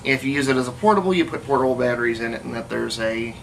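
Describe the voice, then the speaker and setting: A hobbyist restorer with a medium-pitched voice talks casually through hands-on repair work. The delivery is halting, as if thinking aloud mid-task. A faint layer of room noise sits behind the voice. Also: lively intonation, gravelly. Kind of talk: casual hands-on workshop demonstration. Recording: noisy room recording with ambient sound.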